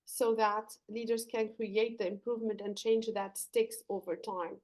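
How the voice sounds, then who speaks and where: Woman guest from Lebanon, high voice, earnest coach explaining her methods. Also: fairly even intonation, normal speed, darkish tone. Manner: earnest, explanatory, some fillers and restarts. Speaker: leadership coach, podcast guest. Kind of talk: podcast interview answers.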